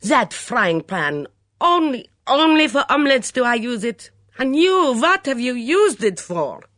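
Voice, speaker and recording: A woman's voice: a little high, sing-song, measured pace, a bit bright, no room sound, clean studio sound, pitched above the performer's own voice. A woman voicing an indignant, dramatic foreign cook who speaks broken English with emphatic repetition in an audiobook story.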